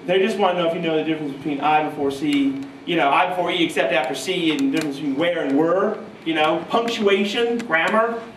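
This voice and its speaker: A man's voice: fairly high pitch, quick pace, hardly any pauses, slight background noise. Man telling stories on a roomy stage. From New York state. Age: 30s. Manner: animated, self-mocking, mimics voices in quotes. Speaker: guitarist giving a clinic. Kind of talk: storytelling to a live audience. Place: music store clinic room, audience present. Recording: roomy live sound from far off.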